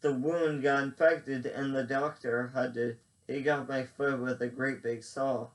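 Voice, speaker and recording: Male reader as Jean Sot: gloomy, simple Cajun guy, slow and earnest. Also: medium pitch, flat delivery, far from the mic, natural voice, rough recording.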